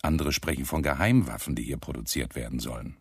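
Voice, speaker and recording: Very deep-voiced, aggrieved SS sergeant. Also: male voice, fast, husky, dry recording, clean studio sound, exaggerated voice. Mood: indignant, griping. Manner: complaining, officious, self-pitying. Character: aggrieved low-ranking male SS sergeant, petty, callous camp functionary. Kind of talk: diary monologue in German radio drama.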